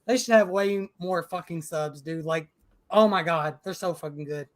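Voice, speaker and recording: A man's voice: fairly high pitch, moderate pace, noisy background. A man hosting a casual podcast and reading out live chat, emphatic and profane, with frequent restarts.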